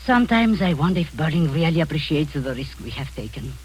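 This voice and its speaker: A ruthless older spy hiding behind a doting aunt's manner, in the style of a 1940s radio drama. Her pitch swoops widely as she coos endearments and then turns icy and commanding. A faint hiss sits under the voice. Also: animated, a little fast, poor audio. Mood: coldly scheming. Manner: sugary and condescending, turning cold and commanding. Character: scheming older female spy, falsely sweet, ruthless. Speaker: villainous spy posing as an aunt.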